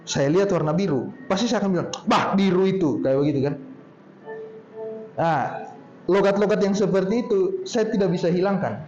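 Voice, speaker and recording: A man with a Poso accent tells stories to a group in a loose, easy way and stretches his words. His voice sits high. The sound is dull and lo-fi, with a roomy echo and faint ambience behind him. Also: darkish tone, soft background music. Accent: Poso regional Indonesian. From Poso, Central Sulawesi, Indonesia. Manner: chatty, drawn-out storytelling tone. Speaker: speaker at a talk. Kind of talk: casual talk to a group.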